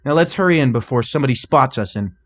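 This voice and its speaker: A man's voice: medium pitch, animated, fast talker, pitched above the performer's own voice, lightly acted. A man voicing a cocky, impatient unicorn stallion thief from a cartoon fan story, brash and slangy. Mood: irritated. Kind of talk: cartoon fan fiction character voice.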